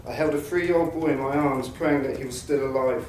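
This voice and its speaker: A man reading a victim statement in a measured, defiant, bitterly sarcastic manner. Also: mid-range voice, fairly even intonation, darkish tone. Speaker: attack survivor giving a victim impact statement. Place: courtroom. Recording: very echoey courtroom from a distance, faint noise.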